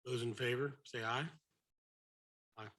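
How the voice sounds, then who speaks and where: A man with a slight cold and a raspy voice, chairing a committee meeting in a plain, somewhat halting manner. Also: medium pitch, fairly even intonation, fairly bright tone. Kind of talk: formal meeting procedure.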